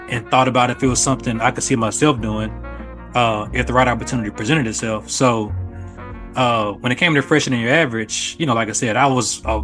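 An American man talking casually and thoughtfully on a podcast, explaining himself at length in measured phrases. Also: mid-range voice, a bit bright. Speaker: podcast co-host appearing as guest. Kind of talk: casual reflective podcast conversation.

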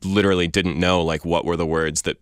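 A young man from Iran with a very low voice talks as a poet guest in an interview. His speech is articulate and searching, full of fillers and rephrasings. The recording is dry and close, with no room sound. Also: flat delivery, brisk, clear, resonant tone, dry recording. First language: Persian. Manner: articulate, thoughtful, elaborate phrasing with frequent fillers. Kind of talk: reflective interview conversation.

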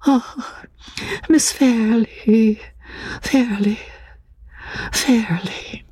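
A woman's voice: on the high side, very slow, warm tone, slightly rough, voice pushed up, heavily acted. A woman voicing a fragile, agitated young woman in a dramatic audiobook, pleading and faltering over repeated words. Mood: soft, wistful. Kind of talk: dramatic audiobook character reading.

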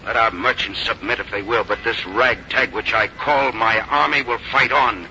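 An actor playing a weary, proud, resolute general in a 1950s radio drama, speaking in a grave, formal, oratorical manner. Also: male voice, lowish voice, moderate pace, bright, forceful tone. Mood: defiant, stirring. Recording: muffled old radio broadcast, faint noise.

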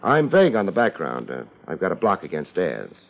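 An American actor playing a laid-back, wisecracking private investigator in a 1950s radio drama, dry and unhurried. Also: male voice, low-pitched, huge pitch swings, creaky, exaggerated voice. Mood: wry, laid-back. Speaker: lead actor and first-person narrator. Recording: dull, noisy old radio recording.

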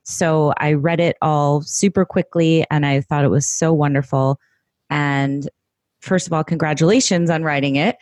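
A woman with a low voice hosts a podcast, gushing with enthusiasm as she talks to her guest. The sound is dry and close, with no room around it. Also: fairly even intonation, normal speed, darkish tone, no echo. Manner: effusive, enthusiastic. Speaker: podcast host. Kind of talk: podcast interview.

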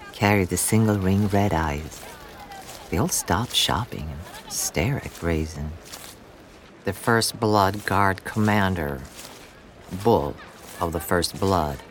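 A man's voice: low voice, a little hiss or hum. A man reading scripted scene narration for an audio drama.

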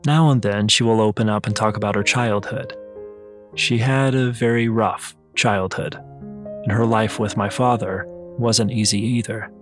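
A man's voice: on the low side, steady tone, normal speed. A man reading a scripted first-person personal essay as narrator. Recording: music behind the voice. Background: music.

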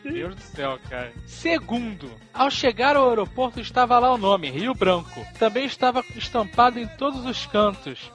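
A man's voice: extremely high pitch, sing-song, normal speed, a bit bright, soft background music. A Brazilian man co-hosting a podcast, telling stories and bantering in a colloquial, mock-serious way. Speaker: podcast co-host. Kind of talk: casual storytelling and banter.

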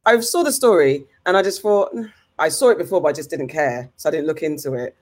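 A woman's voice: low-pitched, expressive, fairly quick. A woman in a casual panel chat who keeps asking puzzled questions.

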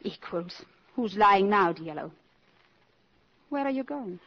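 An actress playing a proud, worldly, impoverished countess in a 1950s radio drama, with a haughty, dry, imperious manner. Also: female voice, mid-range voice, animated, slow. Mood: proud, cool. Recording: muffled vintage 1950s radio recording.

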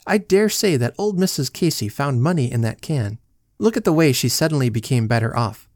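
A woman's voice: rumbling low, expressive, fairly quick, darkish tone, no room sound, studio quality. A man reading a story and voicing a confident, indignant young wife.

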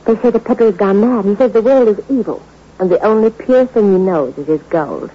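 Seductive, ruthless femme fatale, medium pitch, coaxing hard-boiled slang, muffled vintage radio suspense drama. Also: female voice, animated, brisk, husky. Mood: coaxing, greedy. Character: scheming, seductive femme fatale hungry for gold. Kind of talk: 1960s radio suspense acting. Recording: muffled, slightly noisy old radio recording.

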